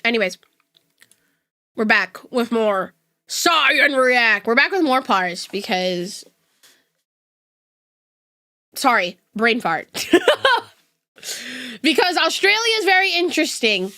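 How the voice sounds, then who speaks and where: A woman's voice: fairly high pitch, big pitch swings, takes it slowly, sharp tone. A young woman with a neutral West Coast American accent, chatting casually and laughing often as a streamer talking to her chat. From United States West Coast. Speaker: VTuber streamer.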